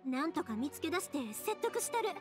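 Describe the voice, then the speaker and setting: Perky kind young game heroine, kansai accent, high voice goes all over. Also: female voice, sing-song, music in the background. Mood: reassuring. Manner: brisk, reassuring, informal. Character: spirited, kind-hearted young woman in red kimono. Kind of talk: Japanese anime game voice acting.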